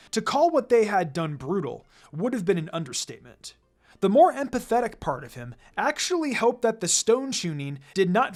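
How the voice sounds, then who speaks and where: A narrator reading a story aloud in an expressive, dramatic audiobook style. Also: medium pitch. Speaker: fan fiction reader, narrator. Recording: music behind the voice. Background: background music.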